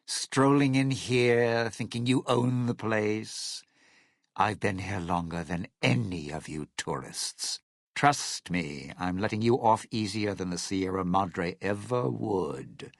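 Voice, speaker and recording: An actor playing a cynical, self-serving lounge singer in a video game, with a raspy, gravelly voice and a wry, theatrical, menacing delivery. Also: male voice, a little high, sing-song, slow pace, no echo, clean studio sound, exaggerated voice. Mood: cold, menacing. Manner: wry, theatrical, hesitant muttering turning to threats. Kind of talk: video game character dialogue.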